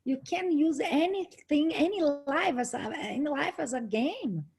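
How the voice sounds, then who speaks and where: A woman speaking English, a guest in a casual podcast interview, earnest and emphatic with halting restarts. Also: high-pitched, expressive, darkish tone. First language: Portuguese. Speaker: guest, lawyer and author.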